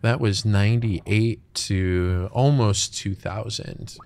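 A man in his forties hosting a casual interview, speaking in a relaxed, reflective way, on a boom mic in an empty restaurant. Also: takes it slowly, creaky. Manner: relaxed, reflective, loose phrasing. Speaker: podcast host. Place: empty restaurant dining room. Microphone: studio mic on boom arm.

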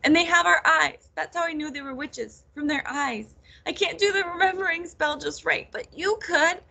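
A woman's voice: sits high, big pitch swings, moderate pace, pushed and bright, voice pushed up, heavily acted. A woman voicing an anxious, impulsive young witch girl in a storybook, breathless and pleading. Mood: urgent.

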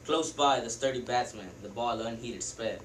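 A man's voice: mid-range voice, normal speed. Teen boy reading verse in a classroom. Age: teens. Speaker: student performer. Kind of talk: reader's theatre poem reading. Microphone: far camera. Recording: echoey noisy classroom from a far camera.

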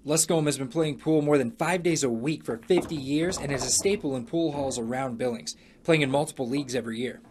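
A man's voice: mid-range voice, a little fast, hardly any pauses, darkish tone, a little hiss or hum. An American man reading scripted narration as a television news reporter.

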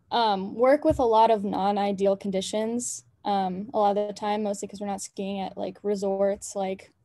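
A woman's voice: mid-range voice, dark tone, no room sound. A young adult woman, a college athlete, answering press questions over a video call from a dorm room, earnest with many fillers. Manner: earnest, lots of "um" and "like". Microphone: laptop webcam on a video call.

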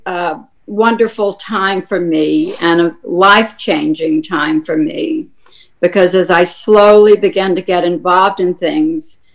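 An older woman from Tennessee, a panelist, introducing herself in an earnest, reflective way over a close webcam at home. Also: on the low side. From Tennessee, USA. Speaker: panelist, activist. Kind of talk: video call panel introduction. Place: home room. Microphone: laptop webcam, close.

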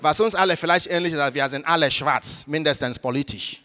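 A man's voice: on the high side, a little fast, crowd noise, background noise. A middle-aged Nigerian man with an Igbo mother tongue, a priest speaking animatedly on a panel with a handheld mic in a large hall. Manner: animated, gesturing, switching into English phrases. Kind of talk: lively panel talk. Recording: reverberant hall.

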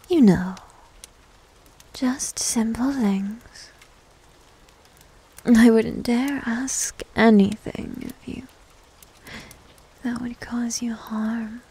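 A needy goth demon girl, played by a woman for an ASMR roleplay, whispers softly in a slightly high, dark and velvety voice. She takes her time, coy and teasing, with a breathy delivery. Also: exaggerated voice, rough recording. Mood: playful, bargaining.

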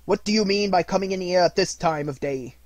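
A man voicing an old male miser, now reformed and hearty, speaking in a gruff, loud bark. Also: on the high side, big pitch swings, normal speed, no room sound, heavily acted. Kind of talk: audiobook reading.

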